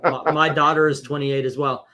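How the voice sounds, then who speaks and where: A man in his fifties hosting a casual comic book chat, wry and quick to mock clunky dialogue. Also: on the high side, flat delivery, bright, forceful tone. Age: 50s. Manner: wry, reads comic dialogue aloud mockingly. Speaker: comic book podcast host.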